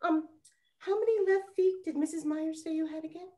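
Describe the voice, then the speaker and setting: A woman playing a cheeky young 1920s detective in a period drama, teasing her sister with animated, wisecracking delivery. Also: very high-pitched, normal speed. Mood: wry, teasing. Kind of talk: scripted period radio drama acting.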